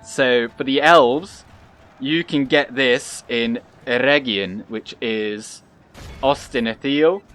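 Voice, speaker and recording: A young man giving calm, casual commentary over his own gameplay, soft-spoken and pausing often with fillers. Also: medium pitch. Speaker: gaming channel host.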